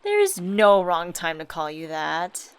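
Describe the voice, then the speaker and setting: A woman voice actor playing a supportive, gently teasing young best friend in a scripted ASMR roleplay, talking casually and intimately. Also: fairly low pitch, huge pitch swings, a little slow, clean tone, exaggerated voice.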